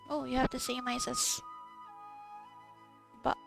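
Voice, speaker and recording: Creaky, high-voiced woman gamer. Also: level tone, slow pace. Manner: rambling, talking to herself. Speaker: gamer recording a playthrough. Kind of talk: casual gameplay commentary and reading aloud. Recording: game music behind the voice. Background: video game music.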